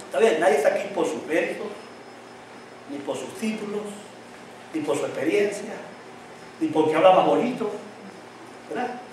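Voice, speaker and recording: A male pastor preaching with a fairly high voice, drawing answers from his congregation with repeated questions. A slight tongue-tie tangles his words at times. He is heard from some distance in a very echoey church hall. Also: moderate pace, long pauses, a little mellow, a little hiss or hum. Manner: call-and-response questions to the congregation. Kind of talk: sermon.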